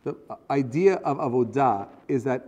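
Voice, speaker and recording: A man's voice: mid-range voice, lively intonation, normal speed, darkish tone, lo-fi sound, slight background noise. A man giving a conversational religious lecture as a rabbi, earnestly putting questions to his listeners. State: voice strained, choking up. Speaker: rabbi teaching a class. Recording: reverberant hall.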